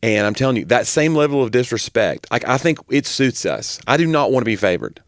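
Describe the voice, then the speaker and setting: A man's voice: medium pitch, expressive, fairly quick, no room sound. An American man in his forties, a podcast co-host, chatting casually and wryly, close on the microphone. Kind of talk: casual chat. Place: small home room, indoors. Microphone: close podcast microphone on boom arm.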